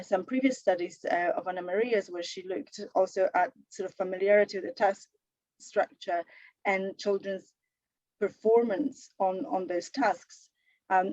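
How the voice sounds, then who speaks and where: A woman's voice: mid-range voice, a little mellow, dry recording, muffled recording. A woman author with a slight sore throat, answering an audience question at a live online panel in a thoughtful way, with many fillers and restarts.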